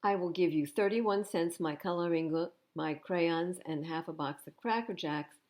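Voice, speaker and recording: A woman's voice: fairly low pitch, normal speed, darkish tone, close to an everyday voice. A woman voicing a determined, insistent little girl in a storybook. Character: determined little girl Violet, persistent bargainer.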